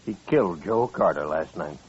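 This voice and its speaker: Low, husky American Old West rancher. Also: male voice, lively intonation, normal speed. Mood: bitter, grim. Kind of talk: old-time radio western acting. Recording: muffled old radio recording.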